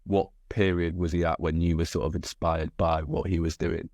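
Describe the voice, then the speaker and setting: A man's voice: low voice, flat delivery, moderate pace, a little mellow, dry recording, clean studio sound. A young man hosting an interview over a video call, asking long rambling questions full of "you know" and restarts. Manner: rambling questions with many fillers. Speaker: podcast host. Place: indoor room.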